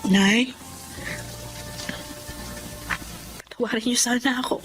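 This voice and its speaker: A woman's voice: a little high, steady tone, fast, natural voice. A woman with a Filipino accent playing a resentful, career-minded eldest daughter in a radio-style drama. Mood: subdued, remorseful. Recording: dramatic music behind the voice.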